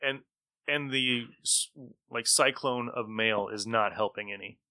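Male game master, very bright and forceful, narrating tabletop play. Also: medium pitch, measured pace.

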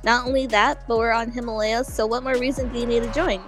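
A woman in her twenties co-hosting a podcast, soft-spoken and giggly in casual chat. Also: fairly high pitch, steady tone, fast talker, music in the background. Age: 20s. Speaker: podcast co-host.

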